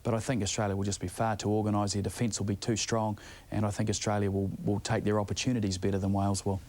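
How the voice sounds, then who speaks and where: A man's voice: on the low side, flat delivery, fast, a little mellow. A man giving off-the-cuff analysis as a sports pundit. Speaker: rugby pundit.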